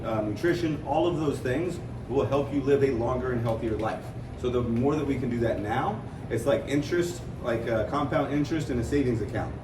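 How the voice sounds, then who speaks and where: An American man giving an informal fitness seminar to a group in a conversational, rambling way, explaining with analogies. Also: medium pitch. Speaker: fitness coach leading a seminar. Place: room with an audience, mic at a distance. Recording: roomy, distant mic, clear background noise.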